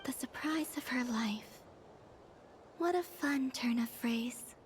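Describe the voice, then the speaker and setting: An actress playing a wistful, innocent, ethereal young maiden in a video game, breathy and hushed. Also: female voice, sits high, slow, slight background noise. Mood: curious.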